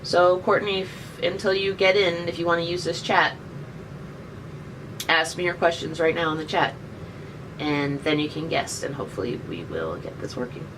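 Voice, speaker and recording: A woman's voice: on the low side, normal speed. A woman in her thirties chatting casually on a live stream and answering viewer questions with jokes. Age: 30s. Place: indoors by a window. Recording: distant webcam mic, faint background noise.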